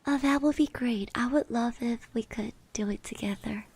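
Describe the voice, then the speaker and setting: A woman playing a shy, friendly schoolgirl classmate in an ASMR roleplay, speaking softly, gently and hesitantly. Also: fairly high pitch, moderate pace. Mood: shy, hopeful. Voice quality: soft, breathy. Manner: hesitant, gentle, with little hums and trailing repeats. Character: shy, friendly high school girl classmate, admiring and easily flustered.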